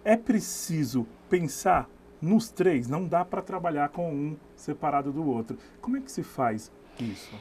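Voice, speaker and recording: A man hosting a studio TV interview, measured and prompting his guest. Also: a little high, animated, a little mellow, a little hiss or hum. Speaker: TV interview host.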